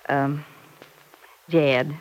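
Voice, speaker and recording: A woman's voice: low voice, steady tone, drawn-out words, rough recording. An American actress playing an affectionate, teasing middle-aged mother in an old-time radio serial. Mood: concerned. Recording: vintage radio recording.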